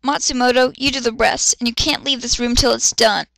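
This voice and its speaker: A woman voicing an irritable, bossy young male captain who snaps and yells in a dramatic fan fiction reading. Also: fairly high pitch, steady tone, brisk, vocal fry, dry recording. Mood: scolding. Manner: snapping, yelling when angered.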